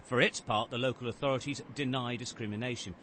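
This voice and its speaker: A man reporting as a news correspondent in a measured, grave tone, narrating scripted news. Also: mid-range voice, fairly even intonation. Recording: outdoor location with loud background noise.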